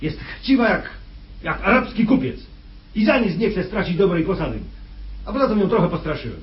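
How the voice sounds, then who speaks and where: A very husky, rough voice in a muffled radio drama belongs to a scheming kidnapper. He is condescending and sardonic, with urbane manners and lively, swinging intonation. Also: sits high, fairly quick, full character voice. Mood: impatient, scheming. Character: scheming, condescending male kidnapper posing as employer. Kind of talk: radio play acting. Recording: muffled old radio play recording.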